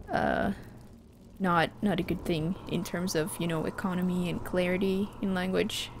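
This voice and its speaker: A woman's voice: on the low side, slight background noise. A woman from Finland, a native Finland Swedish speaker, chatting casually on a livestream and answering her chat, with frequent restarts. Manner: chatty, restarts often, reads chat aloud. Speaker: streamer.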